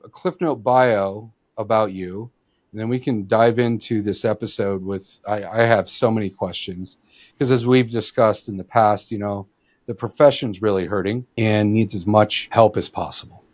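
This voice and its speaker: A man's voice: mid-range voice, normal speed, a little mellow. A man hosting a podcast, introducing and interviewing in an earnest, encouraging manner. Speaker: podcast host.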